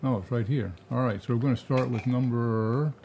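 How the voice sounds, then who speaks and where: A man co-hosting a live painting stream, running the timer and giveaway in a relaxed, joking way. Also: medium pitch, normal speed, creaky, over a noisy crowd. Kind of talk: casual live-stream chat.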